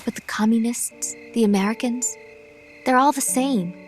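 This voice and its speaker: A woman's voice: a little high, moderate pace, faint music behind, close to an everyday voice. A woman voicing a grave, idealistic young psychic soldier in a video game, earnest and solemn. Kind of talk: dramatic video game voice acting.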